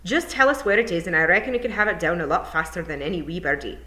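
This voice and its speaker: A woman's voice: lowish voice, fast talker, sharp tone, echoey room. A woman voicing a cheerful, dim male pixie.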